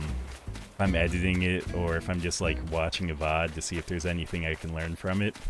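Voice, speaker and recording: A young man with a deep voice chatting casually with viewers while he plays a game on a live stream, rambling in a relaxed way. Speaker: livestreamer. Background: faint game music.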